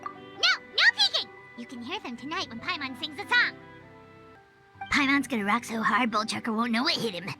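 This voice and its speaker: A woman voicing a small, excitable, childlike fairy companion in a video game, squeaky and exclamatory. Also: normal speed, over music. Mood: confident, eager. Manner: refers to self in third person, exclamatory.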